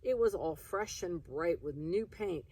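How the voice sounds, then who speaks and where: Unhurried woman reading a story, husky voice. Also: fairly low pitch, animated, a little mellow, recorded from a distance. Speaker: reader of a children's book. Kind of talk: expressive read-aloud storytelling.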